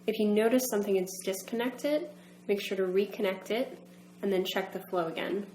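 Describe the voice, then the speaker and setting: Female instructor for a how-to training film, methodical step-by-step delivery, medium pitch, roomy echo with faint hum. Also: brisk, mellow. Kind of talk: instructional demonstration narration.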